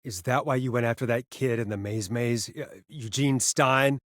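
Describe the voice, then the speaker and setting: A man playing an earnest, rattled radio host in a video game, speaking in a halting, conversational way as he reasons with a killer. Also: mid-range voice, a bit bright, no room sound, clean studio sound, natural voice. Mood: grave, piecing together. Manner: halting, stammering, conversational delivery. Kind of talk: dramatic video game voice acting.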